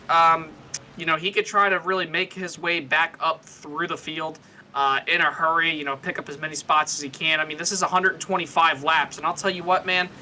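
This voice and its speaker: A man's voice: a little high, bright, forceful tone, slight background noise, poor audio. A man giving live co-commentary and analysis on a sim race in a casual, conversational way.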